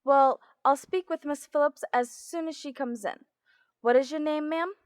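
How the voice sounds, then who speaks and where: A woman's voice: sits high, normal speed, lightly acted. A woman playing a polite but officious, unbothered hospital receptionist in an old-time radio drama. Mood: politely officious. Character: brisk, unbothered hospital switchboard receptionist, female.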